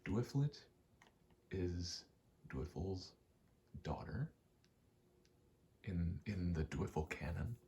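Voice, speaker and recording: A man rambling casually in a soft, half-whispered ASMR style, telling stories in slangy, meandering, sweary speech. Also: deep voice, lively intonation, very slow, creaky, pauses often, a little hiss or hum. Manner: soft-spoken, often dropping into whisper. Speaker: ASMR video host.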